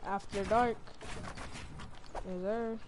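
A woman's voice: on the high side, steady tone, slow, a crowd in the background. A woman chatting casually while playing a video game, teasing and joking with friends over voice chat. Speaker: streamer.

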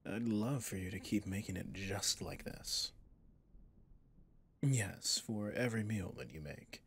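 A man playing a possessive villain boss in an intimate ASMR roleplay, speaking formally and commandingly yet indulgently, in a husky, breathy voice. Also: on the low side, measured pace, a bit bright. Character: smooth possessive male villain boss, doting on favorite servant.

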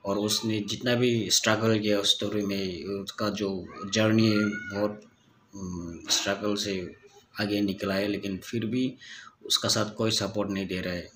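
A man in his thirties from Nagaland, India, recording a halting fan message on his phone at home. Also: lowish voice, steady tone, fairly bright tone, reverberant, slight background noise. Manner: halting, with many fillers. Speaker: fan sending a video message. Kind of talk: selfie video message. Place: indoor room at home. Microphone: phone camera at arm's length.

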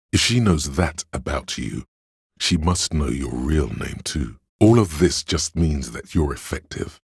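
Extremely deep, gravelly voice of logic. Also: male voice, no echo, clean studio sound, full character voice. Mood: analytical, cool. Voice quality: gravelly, husky. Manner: measured, cerebral, matter-of-fact. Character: man's inner voice of cold logic, analytical and measured. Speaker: video game voice actor. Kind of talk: scripted game narration of inner thoughts.

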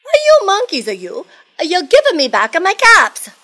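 An exasperated storybook cap seller, played by a woman, scolds in short, indignant bursts. His voice is pushed high and swings widely as his temper boils over. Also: huge pitch swings, quick pace, sharp tone, full character voice. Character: exasperated male cap peddler scolding with rising fury.

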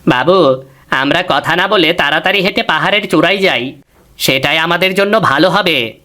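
A man voicing an excitable, scheming villager who talks in an alarmed, deferential way. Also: fairly high pitch, moderate pace. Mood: anxious. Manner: animated, alarmed.

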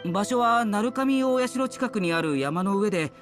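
A man's voice: high-pitched, lively intonation, measured pace. A young male servant from a video game, humble and anxious. He speaks very politely and hesitantly, forever apologetic and loyal. Light music runs beneath the voice. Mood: worried. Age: young adult. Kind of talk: video game character acting.

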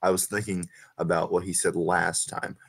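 A man's voice: deep voice, little pitch movement, moderate pace, creaky, slightly rough. A man reading a story aloud informally, stumbling now and then over the text and speaking in a casual, amateur way. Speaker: reader of fan fiction over gameplay.

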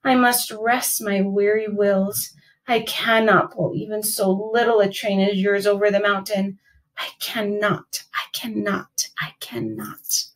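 A woman voicing a weary old male engine who sighs and talks slowly, trailing into a whisper, in a children's storybook. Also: mid-range voice, flat delivery, recorded from a distance, lightly acted, low-quality recording. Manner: sighing, slow, fading to a whisper.

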